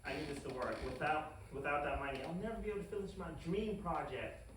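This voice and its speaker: Man acting as gleefully wicked scientist, higher-pitched male voice, animated delivery, cartoon comedy, roomy distant noisy recording. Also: fast talker, lightly acted. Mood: scheming, matter-of-fact. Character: gleefully wicked male scientist craving power, secretly scheming. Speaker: table read actor. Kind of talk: comedic animated pilot table read. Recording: very echoey room, distant mic, hissy noise.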